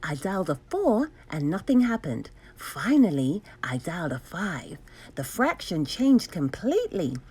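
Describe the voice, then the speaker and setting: A woman reading a children's storybook aloud expressively, enunciating clearly with dramatic emphasis. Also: lowish voice, sing-song, measured pace, a little mellow. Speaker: storybook reader.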